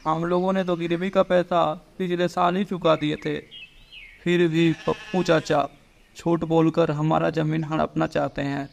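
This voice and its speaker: Man playing an earnest young son, creaky voice. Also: on the high side, flat delivery, a little slow, a little hiss or hum. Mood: pleading. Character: earnest teenage village son, dutiful and pleading. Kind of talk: cartoon moral story dialogue.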